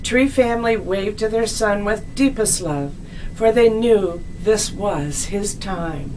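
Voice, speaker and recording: A woman narrating a scripted children's story as a storyteller, in a deliberate, expressive read-aloud manner. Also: mid-range voice, a little hiss or hum, rough recording.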